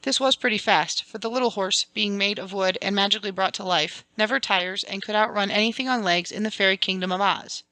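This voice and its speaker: A woman's voice: mid-range voice, fairly quick, hardly any pauses, pushed and bright, no room sound. A woman reading a children's fantasy storybook aloud as scripted, dramatic audiobook narration. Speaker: audiobook reader.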